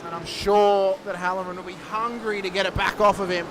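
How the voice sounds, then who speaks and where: A man calls a sim race live in a fairly high voice, cheeky and quick with a joke. Loud engine noise runs steadily beneath his words. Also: steady tone. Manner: cheeky, joking, quick to laugh. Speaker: race commentator. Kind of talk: live sim racing commentary.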